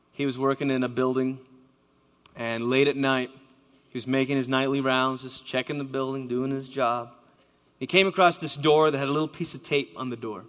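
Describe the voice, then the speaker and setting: A man's voice: medium pitch, fairly bright tone. A man giving a dramatic storytelling talk to a live audience, acting out the voices he quotes. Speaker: speaker. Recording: long hall reverb.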